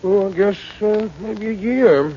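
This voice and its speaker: An actor playing a slow, folksy, noncommittal small-business manager in a 1940s radio drama, hesitant and unhurried. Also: male voice, high voice, mellow, slightly rough, exaggerated voice. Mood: dithering. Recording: muffled 1940s radio recording.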